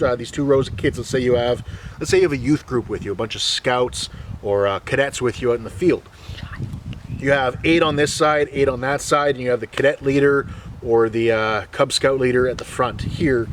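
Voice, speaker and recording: A man's voice: mid-range voice, noisy background. Guy showing how to make rope outdoors, easygoing and jokey, voice a little distant from the mic. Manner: relaxed, joking, plain-spoken teaching. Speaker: bushcraft instructor. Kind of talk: hands-on outdoor skills demonstration.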